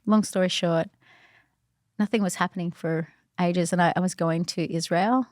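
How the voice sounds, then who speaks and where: Low-voiced woman telling faith stories. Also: steady tone, normal speed, no echo, clean studio sound. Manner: chatty, with frequent "like" and "you know" fillers; earnest and reflective. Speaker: podcast guest. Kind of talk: casual faith storytelling.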